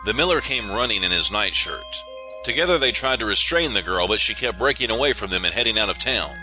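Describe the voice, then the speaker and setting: A man's voice: lowish voice, lively intonation, a little fast, sharp tone, rough recording. A man who hosts a podcast, reading a scripted horror story as its narrator. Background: music.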